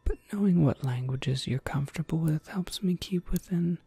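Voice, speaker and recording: A woman playing a courteous, businesslike witch shopkeeper in a soft, measured ASMR whisper. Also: deep voice, vocal fry, slightly rough, no echo, exaggerated voice. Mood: thoughtful, helpful. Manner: measured, polite, gently explanatory.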